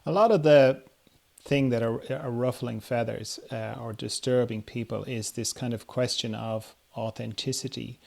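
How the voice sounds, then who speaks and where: Halting, casual male interviewer. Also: medium pitch, fairly even intonation, creaky, a little mellow. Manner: halting, circles toward his question. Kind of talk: casual conversation.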